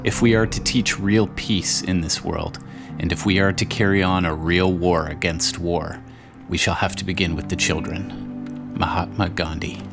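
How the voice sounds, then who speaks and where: Deep-voiced male story narrator. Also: fairly even intonation, normal speed, vocal fry, music in the background. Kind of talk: scripted dramatic narration of a game story.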